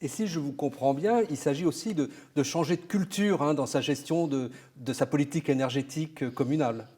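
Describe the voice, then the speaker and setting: A man's voice: fairly high pitch, brisk, dark tone, breathy edge. A man asking questions as an interviewer, conversational and rephrasing hesitantly as he goes.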